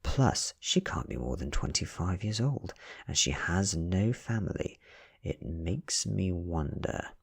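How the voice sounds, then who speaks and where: A British man voicing a nervous, scheming male investigator in a soft ASMR storybook reading, breathy, uneasy and self-important. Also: sits low, expressive, slow, heavily acted. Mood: uneasy curiosity.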